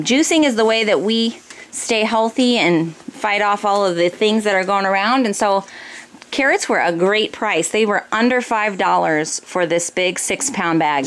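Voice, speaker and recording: An American woman in her thirties chatting informally as she explains household items one by one for a casual home vlog. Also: medium pitch. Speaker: family vlogger, mother. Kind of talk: casual grocery haul narration. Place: indoors in a home kitchen or dining room. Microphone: handheld camera close by. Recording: noisy home recording with room ambience.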